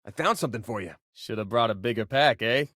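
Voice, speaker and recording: An actor playing an easygoing young space miner in a video game, with a casual, joking way of talking. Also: male voice, medium pitch, expressive, fast, a bit bright, no echo, clean studio sound, lightly acted. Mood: casual, wry. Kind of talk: video game companion voice lines.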